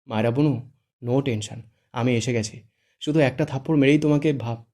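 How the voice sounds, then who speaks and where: A man's voice: mid-range voice, little pitch movement, brisk, dark tone, close to an everyday voice. A man voicing a cheeky, dramatic young man who mocks his friend in a raised, theatrical voice. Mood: teasing.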